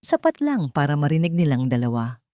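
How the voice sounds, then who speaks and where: A woman narrating a scripted romance story in a deliberate, emotive, dramatic way. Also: low-pitched, sing-song, warm tone, dry recording, studio quality.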